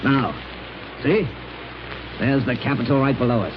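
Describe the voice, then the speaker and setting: A man acting a confident American arch-criminal at the controls of his rocket, in old radio serial style. He is smug and commanding, with a mid-pitched voice. The recording is dull and clearly noisy. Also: a little slow, natural voice. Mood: smug scheming.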